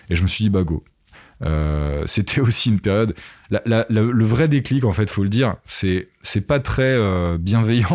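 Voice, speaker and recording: A man's voice: on the low side, a little mellow. A man talking casually in an interview as a podcaster, explaining his ideas conversationally with asides to the listener.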